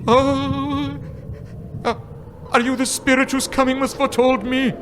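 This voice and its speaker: A man's voice: very high-pitched, level tone, measured pace. A man acting a nervous, sentimental old miser in a live radio play, stammering and repeating his words. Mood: bewildered, fearful. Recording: live stage recording with background noise.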